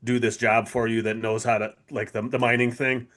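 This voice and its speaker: A man co-hosting a podcast, talking in casual fan chat with an eager, halting, rambling manner. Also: mid-range voice, level tone, fairly bright tone. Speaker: podcast co-host.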